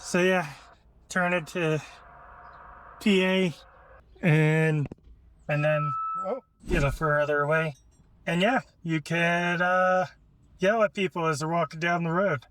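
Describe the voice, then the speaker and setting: Male how-to vlogger, slightly high pitch, informal think-aloud delivery, recorded amid clear vehicle and outdoor noise. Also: steady tone, slow pace, long pauses. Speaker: host demonstrating a vehicle radio installation. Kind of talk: casual hands-on how-to vlog. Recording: noisy car and outdoor ambience.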